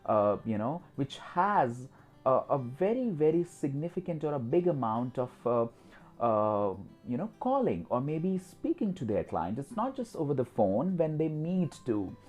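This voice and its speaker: An Indian-accented man, a native Hindi speaker, hosts an instructional talk. His voice is soft-edged and mellow, with wide melodic swings. He speaks emphatically and repeats himself, over a clear music bed. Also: mid-range voice, big pitch swings, measured pace. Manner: repetitive, emphatic, with frequent "you know". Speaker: host of a skills channel.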